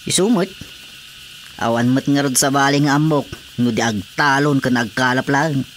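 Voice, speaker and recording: An actor playing a resigned, hard-up village farmer in a radio drama, with an Ilocano Filipino accent. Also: male voice, medium pitch, moderate pace, fairly bright tone, a little hiss or hum, lightly acted. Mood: resigned, explaining.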